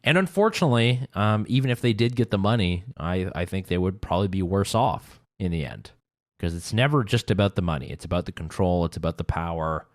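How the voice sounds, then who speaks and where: A male radio talk show host giving opinionated commentary in a sarcastic, animated way. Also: low voice, brisk, dry recording, studio quality. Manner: animated, sarcastic, laughs while ranting.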